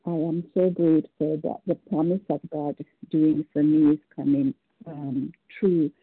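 Canadian woman on a call, husky, dry, earnest and hesitant, lots of ums. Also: low-pitched, no room sound. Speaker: meeting participant. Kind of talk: sharing at a phone support meeting. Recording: phone line.

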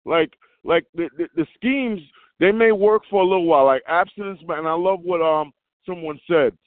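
A man's voice: on the high side, moderate pace, no echo. Big-voiced blunt man on a phone line. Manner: blunt, loud, emphatic, plain-spoken. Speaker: meeting member sharing. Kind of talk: personal recovery share. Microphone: phone call.

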